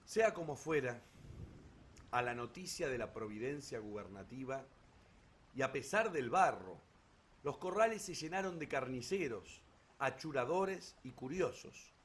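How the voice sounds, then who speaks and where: A man's voice: medium pitch, animated, unhurried. Male radio-theatre narrator, faint background noise.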